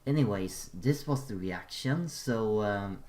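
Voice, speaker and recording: A man giving casual, unscripted reaction commentary as host, in a hesitant, halting and rambling way. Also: on the low side, lively intonation, a little mellow.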